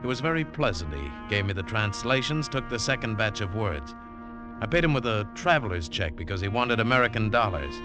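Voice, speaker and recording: Low-voiced, dramatic hardboiled adventurer. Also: male voice, sing-song, brisk. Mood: intense, brooding. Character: tough, wary American adventurer narrating his treasure hunt. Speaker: lead actor and narrator. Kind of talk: 1940s radio drama acting, hardboiled narration. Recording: old 1940s radio broadcast, music behind.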